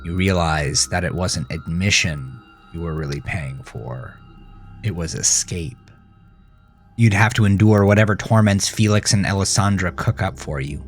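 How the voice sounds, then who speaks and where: A man narrating a horror story with a grave, deliberate, suspenseful delivery. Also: sits low, soft background music. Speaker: author and narrator of horror podcast. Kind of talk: dramatic second-person horror story narration.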